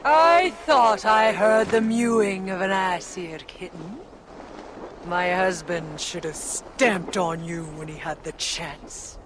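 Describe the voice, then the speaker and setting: A man's voice: sits high, slow. A man playing a vengeful fire giant warlord, taunting and mocking with menace, in a fantasy game. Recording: noisy, with water and ambient sound.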